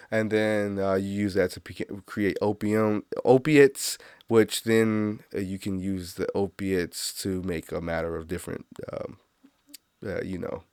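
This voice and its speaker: A man's voice: fairly low pitch, darkish tone, smooth, no room sound, studio quality. A man with a stuffy, congested nose rambling through a casual solo monologue, laughing at his own jokes. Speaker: podcast host.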